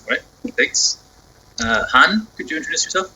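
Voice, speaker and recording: A man's voice: a little high, expressive, moderate pace, pushed and bright, a little hiss or hum, poor audio. A man hosting an online meeting, briefly and politely handing the floor to each member. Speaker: meeting host. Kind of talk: moderating a meeting. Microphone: video call.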